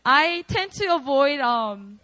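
A woman's voice: high-pitched, sing-song, slow pace, sharp tone. A young woman with a Korean accent preaching at a prayer meeting, candid and chatty, often laughing at herself.

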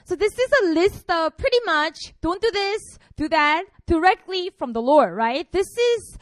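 A woman's voice: very high voice, animated, measured pace, dry recording, clean studio sound. A woman in her twenties from Korea, a pastor preaching a casual, playful sermon to students. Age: 20s. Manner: chatty and playful, frequent fillers.

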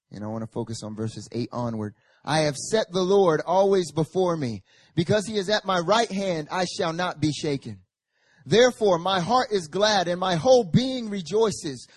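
Raspy-voiced preacher man, casual then fervent. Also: fairly high pitch, no room sound. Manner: casual and joking, rising to loud fervent Scripture reading and prayer. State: hoarse, recovering from a chest infection. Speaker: pastor. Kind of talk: sermon. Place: church service.

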